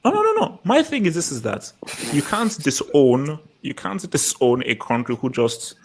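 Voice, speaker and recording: A man's voice: a little high, very animated, normal speed, slightly rough. Easygoing male hangout host. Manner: loose, repeats words, herds the others. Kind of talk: casual livestream hangout chat.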